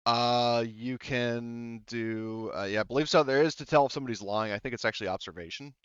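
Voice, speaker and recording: A man's voice: medium pitch, fairly even intonation, fairly quick, clean tone, no echo, muffled recording. A man running a tabletop game as game master, rambling and thinking aloud with many fillers.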